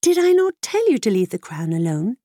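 Woman playing a wise talking mare, high and loud, brisk, commanding. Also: sing-song, no room sound, clean studio sound. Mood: urgent.

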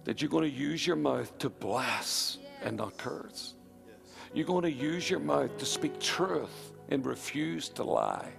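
Gravelly high-voiced preacher. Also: male voice, very animated, takes it slowly. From Northern Ireland. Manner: preaching with rising fervor and emphatic repetition. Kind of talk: live sermon. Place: church hall with a live congregation. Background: music.

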